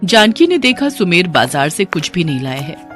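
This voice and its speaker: A woman's voice: on the low side, very animated, moderate pace, a bit bright. Woman narrating over background music. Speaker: story narrator. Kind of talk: scripted moral-story narration.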